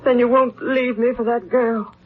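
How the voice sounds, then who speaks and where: An actress playing a clinging, manipulative wife in 1940s radio melodrama, husky and breathy, with a plaintive delivery. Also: female voice, fairly high pitch, level tone, moderate pace, close to an everyday voice. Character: clinging, manipulative wife feigning a weak heart. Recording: tinny old radio broadcast.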